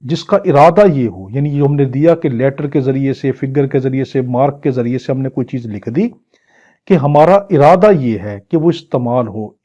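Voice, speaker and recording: A man's voice: medium pitch, dark tone, dull audio. A Pakistani man giving an exam-prep law lecture in a didactic way, repeating phrases and posing questions that he then answers. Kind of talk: exam-prep lecture, reading English legal text and explaining it in Urdu.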